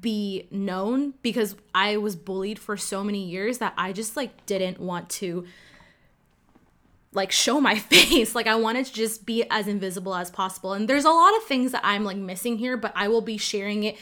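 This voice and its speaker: A woman's voice: mid-range voice, normal speed, bright, forceful tone. Candid woman telling her story. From Ottawa, Canada. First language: Cantonese. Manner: candid, conversational, frequent "like" fillers. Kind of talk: personal storytelling, casual.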